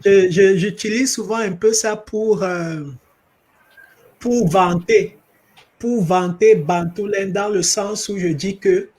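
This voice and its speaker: Male interviewer, high voice, affirming, sums up the guest's points. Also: steady tone, takes it slowly, darkish tone. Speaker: interviewer, platform founder.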